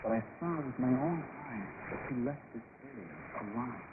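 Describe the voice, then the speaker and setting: A man's voice: medium pitch, lively intonation, moderate pace, narrow, tinny audio. Man giving testimony over crackly shortwave. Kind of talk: interview testimony in short statements. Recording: noisy band-limited shortwave radio broadcast.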